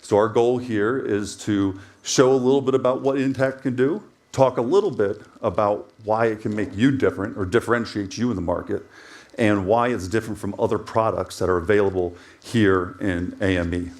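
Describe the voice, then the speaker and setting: Casting note: American male executive, lowish voice, plain businesslike delivery with filler words. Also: moderate pace. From United States. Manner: steady, business-like, frequent "uh" fillers. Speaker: company CEO presenting. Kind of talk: conference presentation.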